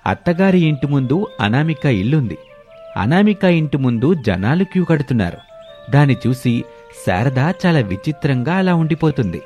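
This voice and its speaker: A man's voice: mid-range voice, big pitch swings, normal speed, darkish tone, soft background music. A man narrating a scripted moral story as a storyteller.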